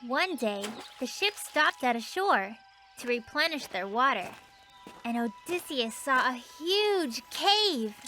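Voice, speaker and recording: A woman voicing a patient, knowing young girl who tells stories in an explanatory way in an animated children's series. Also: high-pitched, takes it slowly, exaggerated voice. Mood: storytelling. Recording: music behind the voice.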